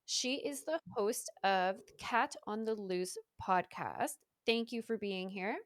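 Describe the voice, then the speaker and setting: A woman's voice: medium pitch, takes it slowly, dry recording, studio quality. A woman hosting a podcast, talking in a casual interview style. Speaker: podcast host.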